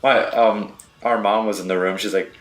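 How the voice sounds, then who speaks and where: A man's voice: fairly low pitch, fairly even intonation, normal speed. A man co-hosting a podcast in casual chat, informal and agreeable. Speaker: podcast co-host.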